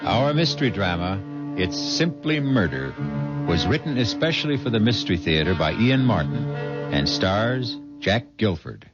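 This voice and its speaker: A deep-voiced man hosts a vintage radio mystery with sweeping, dramatic intonation. His delivery is ominous and deliberate. Music plays behind the dull old recording. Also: very animated. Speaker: host and narrator. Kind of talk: dramatic scripted radio narration.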